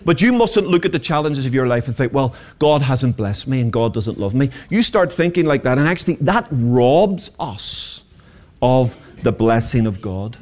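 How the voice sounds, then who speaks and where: Lively preacher in his forties. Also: medium pitch, normal speed. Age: 40s. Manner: passionate, rhetorical, humorous asides. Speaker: pastor. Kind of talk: sermon.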